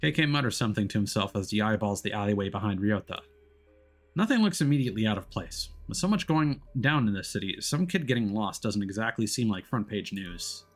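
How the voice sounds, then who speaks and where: A male game streamer with a fairly low voice and lively, varied intonation. He reads story narration aloud expressively and slips easily into casual live chat. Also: fairly quick, soft background music.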